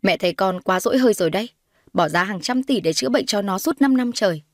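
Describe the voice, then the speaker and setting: A woman's voice: medium pitch, quick pace, no echo, clean studio sound, lightly acted. A woman voicing a haughty, scornful middle-aged mother who sneers and accuses in a dramatized audio story. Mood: irritated.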